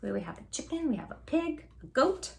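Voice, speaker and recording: A woman's voice: sits high, big pitch swings, a little fast, gravelly, a little mellow, a little hiss or hum. A woman hosting a children's storytime, reading expressively and talking effusively and directly to her young listeners. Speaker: children's storytime host and reader. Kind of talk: expressive picture-book read-aloud for young children.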